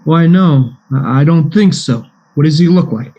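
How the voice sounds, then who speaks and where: A man acts out a bumbling, eager private eye in a comic radio play. His pitch swoops up and down widely, and he stammers and hesitates as he tries to sound like a seasoned investigator. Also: expressive, normal speed, warm tone, lo-fi sound, close to an everyday voice. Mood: puzzled. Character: bumbling, eager male private detective, war veteran.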